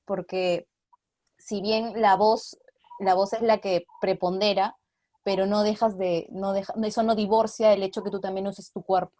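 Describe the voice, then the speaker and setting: A woman's voice: medium pitch, little pitch movement, normal speed, mellow, a little hiss or hum. A woman, an actress giving an interview in casual explanatory talk, often checking in with short tag questions. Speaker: radio theatre actress being interviewed.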